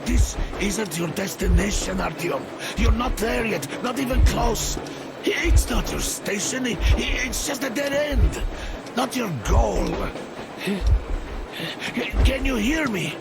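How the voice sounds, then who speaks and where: An actor playing a tender, fatherly old man in a video game, speaking gently and haltingly with wry warmth. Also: high-pitched, lively intonation, vocal fry, loud music behind the voice, full character voice. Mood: tender, worried. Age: older.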